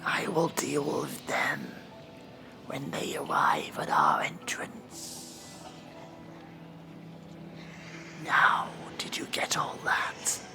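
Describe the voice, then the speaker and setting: A man voicing an ancient, weary demon judge of hell in a theatrical, breathy whisper for an ASMR role-play. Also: mid-range voice, big pitch swings, slow, over music, exaggerated voice. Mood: solemn, instructing. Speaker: performer of a horror role-play.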